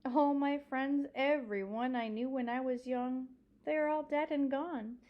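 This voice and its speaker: Woman voicing a dramatic, high-pitched elderly lady librarian. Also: expressive, muffled recording. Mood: wistful. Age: older. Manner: emphatic, drawn-out key words, folksy repetitions. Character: elderly Southern lady librarian, proud, dramatic, wistful storyteller.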